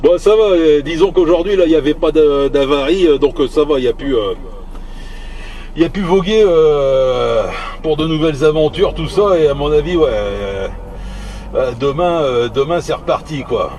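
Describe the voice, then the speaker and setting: A man talks on CB radio from a noisy vehicle. His voice sits slightly high, and he speaks in halting, repeated bits with a jocular, teasing tone. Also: a little mellow, lo-fi sound. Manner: hesitant, halting, repeating words. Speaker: amateur CB radio operator. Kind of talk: casual radio chat.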